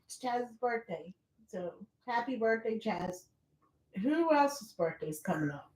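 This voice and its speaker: A woman's voice: a little high, a little slow. Woman reading chat in an echoey room. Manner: relaxed, reads out chat messages. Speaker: co-host reading viewer comments. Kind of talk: casual chat on a livestream.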